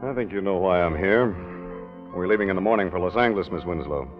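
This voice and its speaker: An actor playing an unflappable, skeptical American detective with an even, clipped delivery, in 1940s radio noir style. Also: male voice, deep voice, fast, over music, lightly acted. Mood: cool, firm. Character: hard, unflappable male detective on a fugitive's trail. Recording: dull vintage 1940s radio recording.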